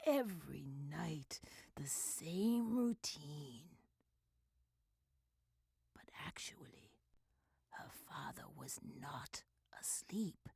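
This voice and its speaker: A woman playing a mystical sea spirit who recounts a tragic tale theatrically in an amateur game fan dub, drawing out words between breathy whispers and loud swells. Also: low voice, lively intonation, drawn-out words, long pauses, dry recording, exaggerated voice. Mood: hushed, sorrowful.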